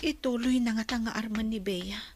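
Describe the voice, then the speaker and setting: A woman's voice: fairly high pitch, a little fast, background noise, lightly acted. A woman acting a worried, hesitant young nursing student in an Ilocano radio drama. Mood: troubled.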